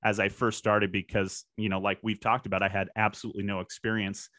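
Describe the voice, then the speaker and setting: A man's voice: lowish voice, level tone, fast talker, sharp tone, no echo, studio quality. A middle-aged American man talking casually and reflectively as an interview guest, often dropping in "you know". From US, born in California and raised in Washington state. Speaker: podcast guest, sports PA announcer.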